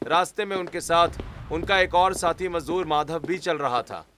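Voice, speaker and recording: A man's voice: fairly high pitch, normal speed, sharp tone, a little hiss or hum. A man narrating a scripted story as its storyteller.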